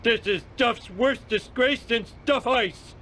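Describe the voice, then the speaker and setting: A man's voice: very high-pitched, slow, pushed and bright, slight background noise, heavily acted. A man voicing a dim, brash, selfish middle-aged dad in a cartoon game, blunt and whiny. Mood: indignant. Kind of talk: cartoon game cutscene acting.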